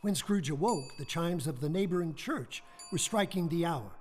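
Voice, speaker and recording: A man's voice: on the high side, lively intonation, moderate pace, a little hiss or hum. A man reading scripted storybook narration as the narrator of a radio play.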